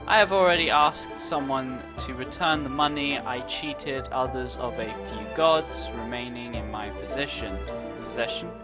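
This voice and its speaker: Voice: medium pitch, normal speed. A gaming streamer giving casual, playful commentary with sarcastic asides and reading game text aloud. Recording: game music clearly behind the voice.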